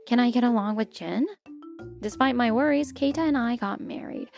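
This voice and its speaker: A polite, put-upon stepmother played by a woman narrates her troubles in a manga dub. Her voice sits fairly high and expressive, with soft music underneath. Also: normal speed, creaky. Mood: narrating, troubled. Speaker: narrator and lead character. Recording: music bed behind the voice.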